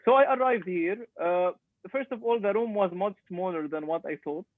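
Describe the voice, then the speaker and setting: A man's voice: high-pitched, normal speed, no room sound. A man in his twenties from Lebanon, a startup founder telling his story in a casual interview, earnest and hesitant with many fillers. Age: 20s. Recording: dry tinny call line.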